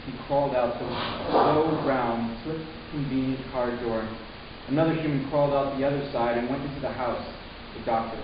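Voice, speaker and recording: A man playing a troubled, horrified young man, narrating his ordeal solemnly and deliberately in a dramatic reading. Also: medium pitch, steady tone, highs cut off, lightly acted. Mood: somber. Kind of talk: scripted first-person narration, dramatic reading. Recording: echoey room from far off, hissy.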